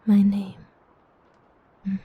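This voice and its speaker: A young woman voicing an obsessive, protective half-demon villain in a hushed, intimate ASMR roleplay whisper. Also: medium pitch, monotone, phone-quality sound, a little hiss or hum. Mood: hushed menacing. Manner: hushed, intimate, slow and brooding.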